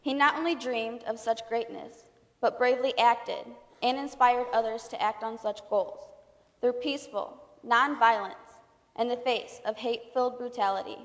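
A woman's voice: a little high, steady tone, normal speed. A woman delivering a scripted formal speech in a solemn, declamatory manner. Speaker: speaker at a convocation. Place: large indoor hall. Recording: reverberant hall.

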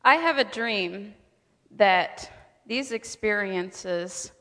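A woman's voice: mid-range voice, very slow, a bit bright. A woman reading a rehearsed testimony aloud in a staged presentation. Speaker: speaker in a readers' theater presentation. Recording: echoing hall with long reverb.

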